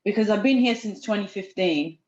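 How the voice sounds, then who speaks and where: A woman with an Australian accent softened by British, chatting candidly and informally as a podcast guest. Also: mid-range voice, fairly quick. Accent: Australian, slightly blended with British. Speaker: podcast guest, personal trainer. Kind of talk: casual podcast chat. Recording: echoey, slightly dull audio.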